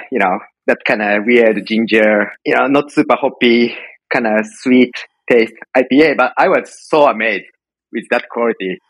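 A middle-aged man from Japan with a Japanese accent, telling stories casually as an interview guest in halting, informal phrasing. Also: medium pitch, a bit bright, dry recording, poor audio. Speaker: podcast guest, home brewer.

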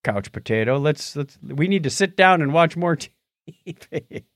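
A man co-hosting a podcast in casual chat, sometimes halting as he searches for words. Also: fairly high pitch, expressive, fairly bright tone, no room sound, studio quality. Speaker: podcast co-host. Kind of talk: casual chat about movies and tech.

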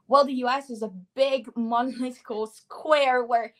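A woman's voice: high-pitched, fairly even intonation, moderate pace, a bit bright. A woman in her twenties with an Italian accent, a native Italian speaker chatting as an upbeat interview guest. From Italy. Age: 20s. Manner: upbeat, chatty, laughs often. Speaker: guest, language learner. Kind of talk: casual interview chat.

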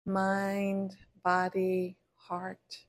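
A woman meditation teacher leading a guided body scan in a gentle, unhurried way, pausing between short phrases. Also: medium pitch, monotone.

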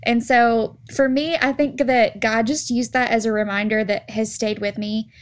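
A young woman who coordinates events, telling a story in a casual, soft-spoken and chatty way on a podcast. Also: a little high, steady tone, normal speed.

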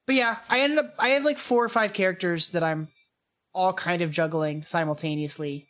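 A woman's voice: lowish voice, fairly bright tone. A young woman co-hosting a podcast, chatting eagerly, often restarting her sentences as she talks. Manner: chatty and enthusiastic, quick restarts. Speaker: podcast co-host. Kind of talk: casual chat.

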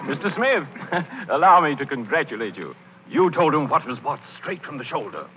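An actor playing a genial, reassuring wealthy gentleman in 1930s radio comedy, courtly and a little hesitant. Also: a little high, sing-song, moderate pace. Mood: cordial. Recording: dull, noisy 1930s radio broadcast.

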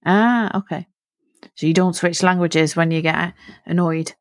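A woman's voice: on the low side, fairly even intonation, unhurried, no room sound, clean studio sound. A middle-aged woman hosting a podcast, patiently asking a child simple, encouraging follow-up questions. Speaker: podcast host interviewing a child. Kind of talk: friendly interview questions.

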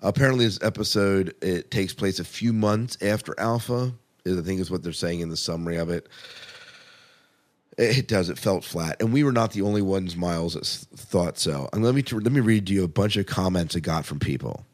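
A man's voice: low voice, a little fast. A man co-hosting a podcast, casually reviewing TV in an enthusiastic, conversational way and repeating phrases for emphasis. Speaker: podcast co-host.

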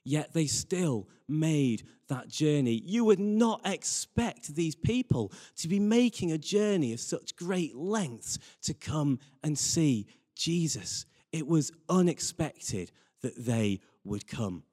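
Guy giving a sermon, really lively up and down voice, earnest, repeats himself for emphasis, hall reverb. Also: fairly high pitch, takes it slowly, few pauses. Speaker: preacher. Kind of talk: sermon with personal anecdotes.

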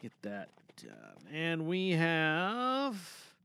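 Male podcast host, informal and playful showman, crisp, high-set voice, close dry sound. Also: wildly swooping pitch, drawn-out words, pushed and bright, dry recording. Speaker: host and voice performer.

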